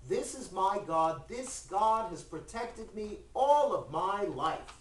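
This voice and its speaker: A man's voice: high voice, animated, takes it slowly. A man telling a story, voicing a humble, stubborn little old man who pleads colloquially for his idol. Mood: devout, insistent. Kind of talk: spoken storytelling. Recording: roomy, distant mic with background noise.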